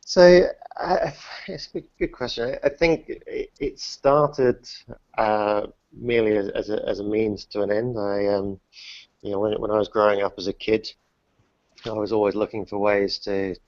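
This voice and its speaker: Brief: man in his thirties from the UK, lowish voice, halting and self-deprecating, dry lo-fi call line. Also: fairly even intonation, darkish tone, no room sound. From New Zealand, grew up in the UK. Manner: hesitant, many restarts and fillers, self-deprecating. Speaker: guest entrepreneur. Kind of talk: casual interview answers. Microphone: remote call, dull lo-fi and dry. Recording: dull dry online call audio.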